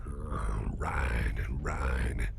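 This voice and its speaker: A man playing a suspicious, controlled businessman boss in an intimate ASMR roleplay, half-whispering in a measured, politely probing way. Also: background noise, full character voice. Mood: measured suspicion. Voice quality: very low, gravelly, breathy half-whisper. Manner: slow, measured, politely probing with pointed pauses.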